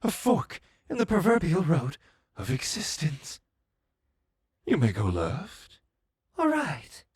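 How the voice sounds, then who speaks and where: A man playing a mad, zealous villain, a servant of a moon goddess, preaching in a grandiose, drawn-out way in a fantasy audio roleplay. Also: fairly high pitch, huge pitch swings, husky, heavily acted. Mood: ominous wonder.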